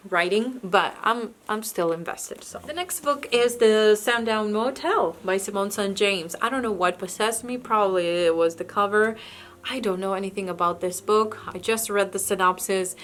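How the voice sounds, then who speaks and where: A woman's voice: mid-range voice, a bit bright. A woman who speaks English as a second language, chatting casually about books she bought, with frequent restarts and hesitations. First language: not English. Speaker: book vlogger. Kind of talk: casual book haul chat.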